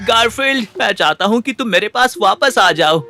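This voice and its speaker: A man voicing a cheerful, naive, playful cat owner in an animated cartoon. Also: high voice, moderate pace, faint music behind, full character voice. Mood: earnest longing. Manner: eager, playful.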